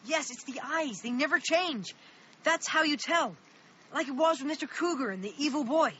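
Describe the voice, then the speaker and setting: A thirteen-year-old boy in a radio drama, urgent and protective. The voice is high and bright, on a slightly dull recording with faint noise. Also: lively intonation, normal speed. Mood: earnest, uneasy. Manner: urgent, protective, hushed at times.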